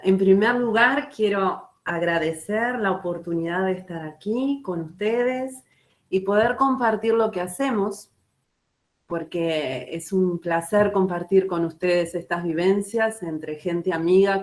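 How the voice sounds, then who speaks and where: A woman from Uruguay with a Uruguayan Spanish accent, a schoolteacher presenting her work slowly and carefully at an online book launch. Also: lowish voice. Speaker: guest teacher.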